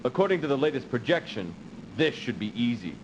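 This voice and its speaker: An actor playing a cautious, dutiful military aide in a video game, giving formal, measured briefings. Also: male voice, mid-range voice, very animated, highs cut off, natural voice. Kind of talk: dramatic video game cutscene acting. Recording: slightly noisy old game audio.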